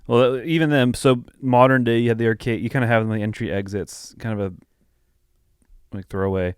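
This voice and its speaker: A man's voice: medium pitch, fairly even intonation, moderate pace, clear, resonant tone, dry recording, studio quality. A man co-hosting a podcast in loose, casual conversation. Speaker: podcast host. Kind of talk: casual chat.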